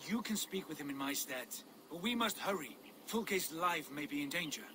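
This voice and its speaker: Actor as a high-voiced, commanding Norse jarl. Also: male voice, lively intonation, moderate pace, crowd noise, lightly acted. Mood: resolute. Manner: commanding, insistent. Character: commanding Norse jarl, secretive, urgent, proud.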